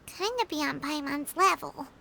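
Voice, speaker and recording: A woman voicing a small, childlike fairy companion in a video game, squeaky and earnest, with simple, chirpy phrasing. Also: lively intonation, a little slow, gravelly, bright, forceful tone, a little hiss or hum, exaggerated voice. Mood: earnest, subdued. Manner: speaks of herself in third person, hesitant, simple. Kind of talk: video game character dialogue.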